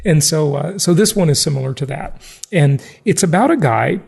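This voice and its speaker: Male podcast host, fairly high-pitched. Casual and conversational, salesy, stresses points, likes to kid around. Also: expressive, normal speed, gravelly, a little mellow, husky. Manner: emphatic, salesy, joking.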